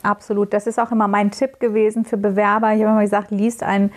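A woman's voice: medium pitch, little pitch movement, fast talker. Talkative woman chatting on a podcast. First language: Azerbaijani. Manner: talkative, rambling, many fillers. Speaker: cabin chief and recruiting expert, podcast co-host. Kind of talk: casual podcast conversation.

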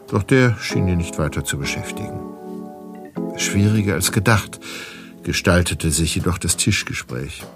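A man narrating a scripted German audio drama as its storyteller. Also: sits low. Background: music.

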